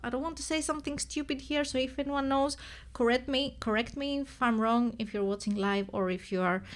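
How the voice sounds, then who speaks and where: A woman's voice: sits high, a little fast. A woman with a Greek accent, a native Greek speaker, hosting a casual live stream and thinking aloud with many restarts and self-corrections. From Greece.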